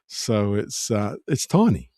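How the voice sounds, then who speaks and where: A man's voice: fairly low pitch, sing-song, takes it slowly, warm tone, no room sound, studio quality. A middle-aged man chatting casually as a podcast co-host, wry, often restarting and repeating his phrases. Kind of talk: casual banter.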